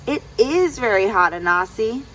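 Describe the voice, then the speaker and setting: A woman voicing a small, shy but clever female deer in a children's storybook, sounding innocent and calmly stubborn. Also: a little high, moderate pace, far from the mic, a little hiss or hum, poor audio.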